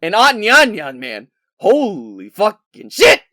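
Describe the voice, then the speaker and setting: A man giving a casual, scripted game review with an enthusiastic, playful and expressive delivery. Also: high-pitched, takes it slowly, no room sound.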